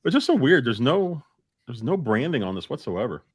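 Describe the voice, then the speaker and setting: A man's voice: medium pitch, very animated, a little fast, husky, no room sound, studio quality. A man hosting a casual live-stream chat, talking informally. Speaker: podcast host.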